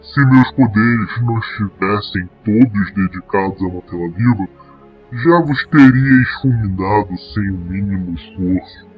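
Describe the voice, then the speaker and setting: A man voicing a desperate, menacing dark monster who speaks in formal, archaic language. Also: on the low side, muffled recording, faint music behind, voice pushed down, close to an everyday voice. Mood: grave, explaining. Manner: archaic, formal, slow speech.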